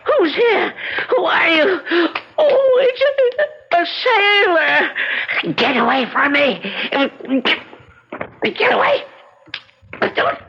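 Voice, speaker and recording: An actor playing a gullible, blustering colonial American bargain hunter with a folksy, stammering delivery, in old-time radio drama style. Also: male voice, extremely high pitch, very animated, extremely slow, gravelly, full character voice. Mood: terrified, stammering. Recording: muffled radio drama recording, loud background noise.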